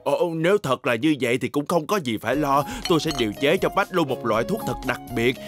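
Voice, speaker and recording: A man's voice: mid-range voice, lively intonation, quick pace, music in the background, lightly acted. A man voicing an earnest, fussy middle-aged scientist in a cartoon dub, with a southern Vietnamese accent. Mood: confident, explaining. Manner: earnest, explanatory.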